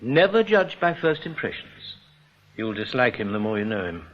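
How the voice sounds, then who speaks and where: An actor playing a breezy, authoritative British senior bank boss in a radio drama, persuasive and paternal. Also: male voice, lowish voice, very animated, normal speed. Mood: wry. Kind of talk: broadcast radio drama acting. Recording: dull noisy old radio recording.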